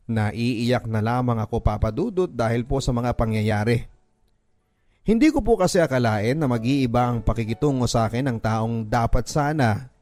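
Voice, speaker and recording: A man's voice: mid-range voice, darkish tone. A man with a Filipino accent reads a dramatic first-person story in an earnest, sentimental radio-drama style. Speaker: radio drama narrator reading a listener's letter. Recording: music bed under the voice. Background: background music.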